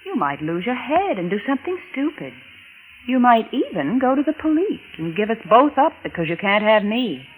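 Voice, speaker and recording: Female, cold calculating murderess for 1940s radio suspense; expressive intonation, old recording with music behind. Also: a little high, poor audio. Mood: coolly menacing. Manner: coolly deliberate, taunting. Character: cold, scheming, unfaithful wife turned killer.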